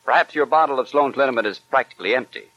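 Casting: male commercial announcer, medium pitch, scripted 1940s radio advertising style. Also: very fast, pushed and bright, no room sound, muffled recording.

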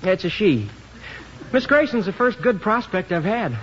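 A man's voice: high voice, very animated, normal speed. An actor playing an eager, breezy young husband and salesman in a 1950s radio comedy. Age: young adult. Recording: muffled, noisy 1950s radio recording.

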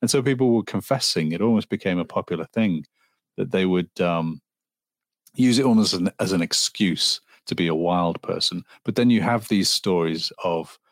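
A man in his forties from Liverpool with a Liverpool accent, a guest telling folklore stories in a relaxed, rambling way with ums and restarts. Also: low voice, moderate pace, no echo. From Liverpool, England. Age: 40s. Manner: storytelling, with frequent ums and restarts. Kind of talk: conversational interview.

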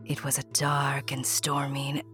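A woman narrating a scripted story straight to the listener in a playful, intimate, theatrical way, often hushed. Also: rumbling low, completely flat delivery, a little slow, faint music behind.

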